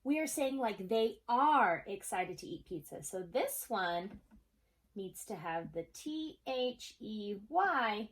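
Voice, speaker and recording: A woman's voice: mid-range voice, animated, takes it slowly. Woman teaching young kids in a video lesson. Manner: encouraging, talks to pupils as if they answer; casual, checks in often with okay. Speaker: third-grade teacher. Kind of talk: recorded video lesson for children.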